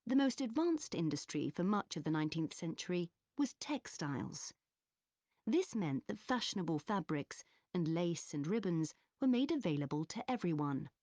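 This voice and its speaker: A woman playing a history student giving a scripted academic presentation in a measured, explanatory manner. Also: on the low side, warm tone, dry recording. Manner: measured, clearly structured explaining. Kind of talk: scripted academic presentation in an exam listening recording.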